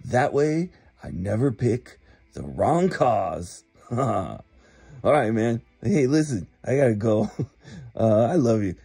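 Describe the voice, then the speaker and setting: Laid-back slacker man with lively swooping pitch. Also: gravelly, breathy edge, no room sound, soft background music. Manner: laid-back slacker, drawn-out words, frequent "like", chuckling. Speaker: comic voicemail caller. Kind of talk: rambling casual movie recap as a phone message.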